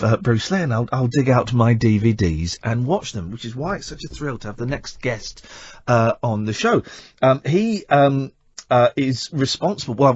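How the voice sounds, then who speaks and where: Effusive British radio host, animated pitch. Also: male voice, slightly rough, no room sound, muffled recording. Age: middle-aged. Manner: effusive, emphatic, repeating words. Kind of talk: enthusiastic, rambling radio monologue and interview.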